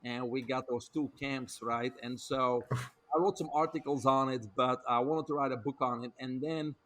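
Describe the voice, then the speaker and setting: A man's voice: mid-range voice, steady tone, normal speed, fairly bright tone. A middle-aged man from Belgium with a Belgian accent, a guest on a podcast interview, telling his story fluently with frequent filler words. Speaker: guest, doctor and author.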